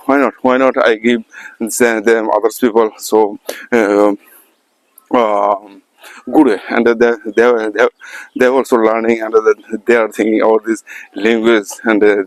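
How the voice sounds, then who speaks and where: A man from India with an Indian English accent, speaking English as a second language in a halting, repetitive motivational monologue to camera, filmed at a calm, quiet spot. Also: fairly low pitch, dry recording, poor audio. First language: not English. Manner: halting, repetitive non-native phrasing. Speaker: English learner vlogger.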